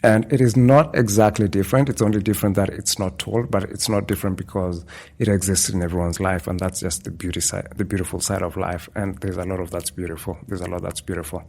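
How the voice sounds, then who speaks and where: A man's voice: deep voice, fairly even intonation, creaky, a little mellow. A man from Kenya, a guest photographer talking thoughtfully and unhurriedly in an interview. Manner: thoughtful, unhurried, repeats phrases. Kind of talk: casual interview talk. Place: indoors at a culture centre.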